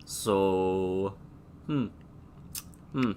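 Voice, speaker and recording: A man with a stuffy, sniffly nose and a sore throat, streaming a game and chatting casually while thinking aloud with pauses. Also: deep voice, a little mellow, a little hiss or hum. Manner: laid-back, thinking aloud with pauses and hums. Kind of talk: casual gameplay commentary.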